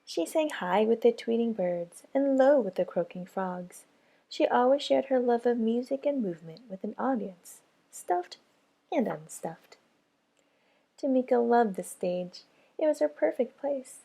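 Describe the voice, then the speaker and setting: A woman's voice: fairly high pitch, lively intonation, measured pace, gravelly, mellow. A woman reads a children's story aloud in the style of a preschool teacher at story time.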